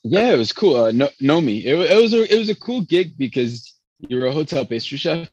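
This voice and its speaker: A man's voice: on the high side, very animated, a little fast, a little mellow, slightly rough, highs cut off. A man who spoke Spanish first, a pastry chef telling long rambling stories full of restarts in an interview. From born in Chicago, raised in Venezuela. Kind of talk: casual interview storytelling.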